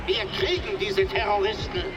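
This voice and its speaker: An actor playing a chancellor giving a declamatory campaign speech at a rally in a radio drama. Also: male voice, sits high, fairly even intonation, normal speed, lo-fi sound, noisy background, close to an everyday voice. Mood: rousing. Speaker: chancellor character. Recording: echoey rally from far off with crowd.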